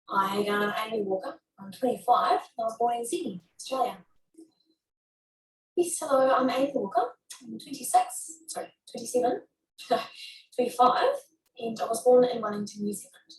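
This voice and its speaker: A woman's voice: a little high, animated, normal speed. Woman in her 20s hopping across accents. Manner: switches between many English accents, playful. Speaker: actress doing an accent showcase. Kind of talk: rapid accent demonstration clip. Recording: roomy sound from a distance.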